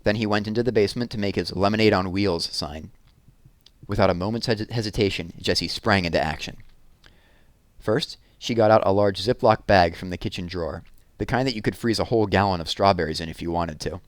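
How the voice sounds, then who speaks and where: Lowish-voiced man narrating a children's book. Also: brisk, no echo. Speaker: read-aloud narrator.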